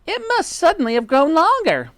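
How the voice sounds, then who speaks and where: A man's voice: very high voice, huge pitch swings, moderate pace, fairly bright tone, no echo, pitched above the performer's own voice, exaggerated voice. A man voicing a frightened, gullible old wife in a storybook, wailing and gasping in panic. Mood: indignant alarm.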